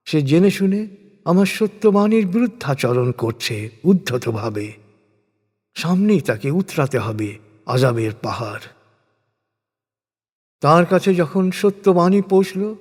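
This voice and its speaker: A man reads scripture in translation as a solemn scripted narration, with measured, dramatic phrasing and deliberate pauses. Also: medium pitch, darkish tone, husky. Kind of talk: solemn scripted religious recitation in prose. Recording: long hall-like reverb.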